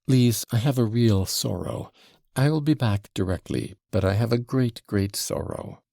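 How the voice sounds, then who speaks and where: A man's voice: on the low side, unhurried, vocal fry, warm tone, dry recording, studio quality. A man voicing an earnest, remorseful young man who speaks in a sincere, pleading way.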